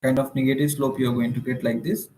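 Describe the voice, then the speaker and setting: A man's voice: mid-range voice, level tone, quick pace, mellow, a little hiss or hum. A man with an Indian accent teaching maths in an informal online lecture, explaining step by step and often checking in with short tag questions. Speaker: maths teacher for exam preparation. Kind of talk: informal online lecture, working through problems. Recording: roomy, echoing room sound.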